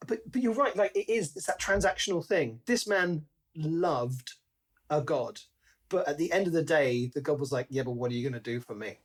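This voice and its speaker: A man with a somewhat high voice talks as a podcast co-host in a casual book discussion. He retells things in an animated way, slipping into little mimicked asides as he explains. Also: normal speed.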